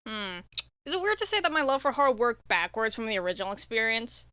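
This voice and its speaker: A woman speaking as a guest in a casual interview, animated and wry, poking fun at herself. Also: fairly high pitch, fast talker, clear, resonant tone, no room sound. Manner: animated, wry, self-mocking. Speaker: podcast guest, indie game developer.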